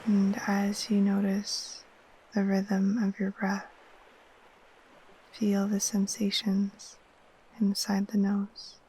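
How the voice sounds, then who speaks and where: A woman gently guiding a sleep meditation in a soft, breathy, half-whispered voice, soothing and unhurried. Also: medium pitch, low-quality recording. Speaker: yoga nidra guide. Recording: faint steady wash of ocean waves.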